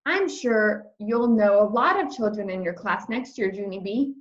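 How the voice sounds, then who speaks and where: Woman playing a gentle mother, roomy sound. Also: mid-range voice, animated, moderate pace, highs cut off, natural voice. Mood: reassuring. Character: gentle, reassuring mother of a kindergartner.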